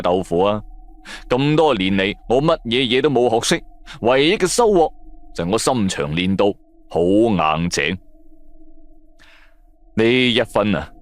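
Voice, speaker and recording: A man narrating a first-person audio drama in Cantonese, in a dramatic scripted storytelling style. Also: medium pitch.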